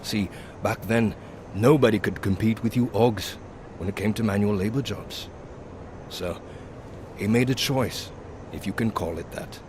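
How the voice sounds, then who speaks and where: A man playing a hard-bitten, world-weary boss in a video game, speaking in a measured, sardonic way. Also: on the low side, noisy background, close to an everyday voice. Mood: somber, reproachful. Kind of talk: video game cutscene storytelling.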